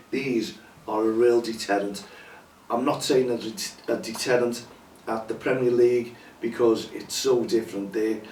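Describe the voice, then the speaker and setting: A hoarse man hosts a casual chat show, rambling and chatty with lots of fillers. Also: lowish voice, a little mellow, slight background noise. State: hoarse, losing his voice. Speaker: show host. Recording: roomy echo, distant from mic, faint ambience.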